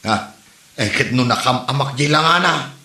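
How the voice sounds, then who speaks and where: A man's voice: medium pitch, moderate pace, pushed and bright, echoey room, close to an everyday voice. A man playing a grumbling, philandering husband in an Ilocano comedy drama, with an Ilocano Filipino accent. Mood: exasperated.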